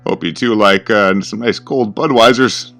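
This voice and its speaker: A man's voice: on the low side, a little slow, vocal fry, soft background music, voice pushed up. A man doing a smirking, falsely friendly host. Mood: falsely cheerful. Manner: smirking, overly cheerful and loud.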